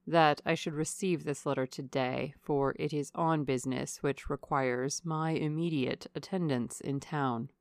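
A grave colonel of the old gentry, as a woman narrator plays him, speaks low and with formal courtesy. He is guarded and restrained, every phrase polite, in a dry audiobook reading. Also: steady tone, measured pace, few pauses, no echo. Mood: regretful, firm.

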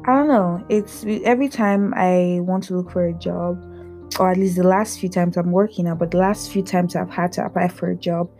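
A woman from Africa who stutters, hosting a candid personal podcast, talking in a halting way with frequent fillers and restarts. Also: on the low side, mellow. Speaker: podcast host.

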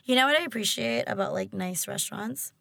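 Raspy young woman, lively bright voice. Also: mid-range voice, dry recording, clean studio sound. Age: 20s. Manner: teasing, blunt, quick to joke. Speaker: podcast host. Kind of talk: casual chat.

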